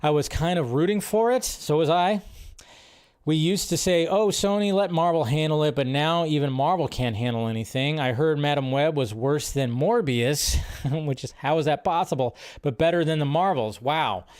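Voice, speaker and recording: A man with a slightly high voice hosts a casual livestream, talking loosely with plenty of fillers and restarts. He reads viewer questions aloud and answers them in an offhand, chatty way. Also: moderate pace, darkish tone, clean tone. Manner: rambling, filler-heavy, frequent restarts. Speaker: host of a film news livestream.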